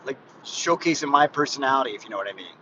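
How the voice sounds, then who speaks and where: A man's voice: mid-range voice, expressive, fast talker, pushed and bright, poor audio. A man in his fifties rambling casually in a live vlog while driving, with many restarts and frequent asides to his viewers. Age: 50s. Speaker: fitness vlogger. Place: inside a moving car. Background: faint car and road noise.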